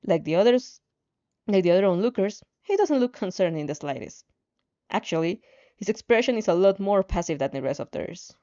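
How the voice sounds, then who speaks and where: A woman reading fan fiction aloud in an animated way, now and then stumbling and rereading a phrase, on a slightly dull recording. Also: mid-range voice, a little fast. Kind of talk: animated story reading with asides.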